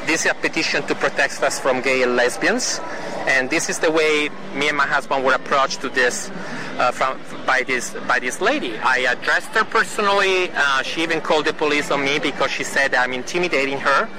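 A man giving an earnest, indignant first-person report outdoors at a harbor, halting and restarting as he talks. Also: medium pitch. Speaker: citizen filming a video clip. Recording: outdoor video with traffic noise.

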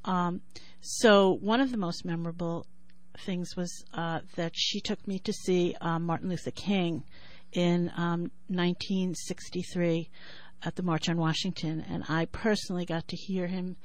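A woman in her sixties with a New York accent who talks thoughtfully with many pauses and then reads her poem in a measured rhythm. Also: lowish voice, steady tone. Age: 60s. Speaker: poet and writer. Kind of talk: interview and poetry reading.

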